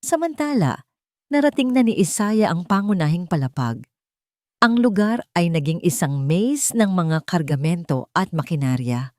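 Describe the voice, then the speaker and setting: A woman's voice: on the low side, expressive, normal speed, darkish tone, no room sound, clean studio sound. A woman reading a dramatic scripted audio story as its narrator.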